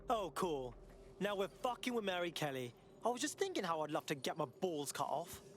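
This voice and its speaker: A man's voice: sits high, sing-song, a little fast, a little hiss or hum. A man voicing a mouthy, sarcastic young resistance recruit in a video game cutscene. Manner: sarcastic, colloquial.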